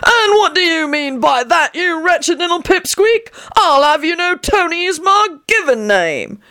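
A woman voicing an eccentric, boastful old man with a warbling, croaky voice. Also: very high-pitched, big pitch swings, a little slow, pushed and bright, pitched above the performer's own voice, heavily acted. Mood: indignant. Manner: cackling, blustering, indignant.

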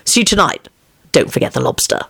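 A woman's voice: a little fast, a bit bright, no echo, close to an everyday voice. A woman voicing a dry, unflappable man in a storybook, stern yet amiable, with a wry, consoling manner. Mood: irritable.

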